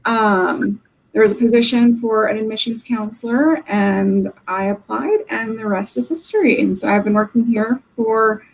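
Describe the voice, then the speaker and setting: Earnest young woman, gravelly fry. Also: fairly high pitch, normal speed, rough recording. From Oregon. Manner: earnest, fillers of um between points. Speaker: admissions counselor. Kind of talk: interview answers. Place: her campus office.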